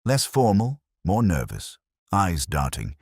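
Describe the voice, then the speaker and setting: A man voicing a wary, polite bank manager of sixty in a measured, formal manner. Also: low voice, wildly swooping pitch, no room sound, clean studio sound, voice pushed up, heavily acted. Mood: recollecting.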